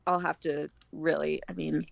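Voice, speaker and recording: Woman chatting casually, lowish voice w lots of fry, super dry close sound. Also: flat delivery, moderate pace, dry recording. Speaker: podcast guest, homeschooling mother. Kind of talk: casual chat.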